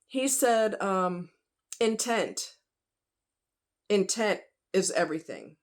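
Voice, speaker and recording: A woman's voice: medium pitch, expressive, slow pace. A woman vlogger talking casually and directly to her viewers in a folksy, conversational, emphatic way.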